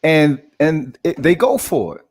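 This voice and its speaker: A fired-up man on a casual online panel. He pushes his point with repetition and plenty of swearing, in a voice pitched above the usual male range. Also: big pitch swings, a little slow, darkish tone. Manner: emphatic, profane, repeats words for stress.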